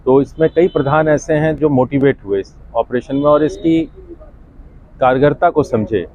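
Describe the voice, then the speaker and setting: A man's voice: medium pitch, normal speed, a little mellow, highs cut off. A man speaking as a senior official, giving a statement to the media.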